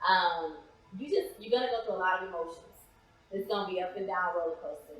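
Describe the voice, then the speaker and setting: A woman's voice: fairly low pitch, fast, a bit bright. An American woman giving casual interview answers as a guest playwright. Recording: very echoey, reverberant room.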